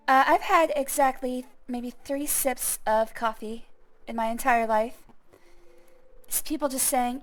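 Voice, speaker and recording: A young woman who streams games, chatting casually and thinking aloud in a self-deprecating way as she plays. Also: high-pitched. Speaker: gaming streamer. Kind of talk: casual gameplay commentary.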